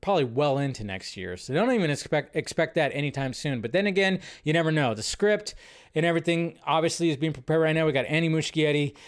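Higher-voiced man rambling casually. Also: lively intonation, fast, clear, resonant tone. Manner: repeats his phrases, thinking aloud. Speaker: host of a live entertainment news show.

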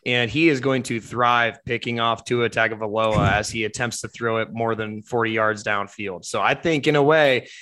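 A young man co-hosting a casual sports podcast, animated and slangy, his voice a bit worn. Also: mid-range voice, moderate pace, few pauses. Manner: animated, laughs often, slangy. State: voice partly lost. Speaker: podcast co-host.

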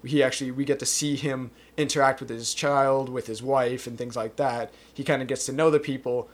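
A man's voice: mid-range voice, fairly even intonation, a little fast. A man with a mid-range voice co-hosts a casual film podcast. He works through a plot summary in a rambling way, often hedging with loose phrasing. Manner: rambling, hedges with "kind of". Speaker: podcast co-host.

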